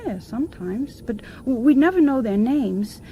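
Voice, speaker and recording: An actress playing a timid, flustered wife being questioned in a radio drama, speaking haltingly. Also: female voice, a little high, expressive, slight background noise, natural voice. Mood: nervous, reluctant. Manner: halting, hesitant. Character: timid, flustered detective's wife, reluctant witness. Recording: dull old radio drama recording.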